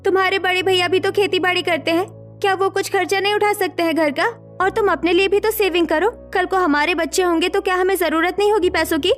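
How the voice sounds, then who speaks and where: A woman's voice: extremely high pitch, fairly even intonation, brisk, soft background music. A woman voicing an insistent, demanding young city wife in a dramatized Hindi story. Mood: angry, demanding.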